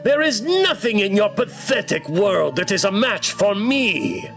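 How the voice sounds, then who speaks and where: Guy doing a haughty lady sea monster villain, really high voice, bossy and grandiose, music behind. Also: sing-song, measured pace, vocal fry, a bit bright, heavily acted. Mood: boastful, threatening. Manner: grandiose, commanding, theatrical. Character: haughty sea-creature conqueror, female, imperious and menacing. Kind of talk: acted villain in a visual novel.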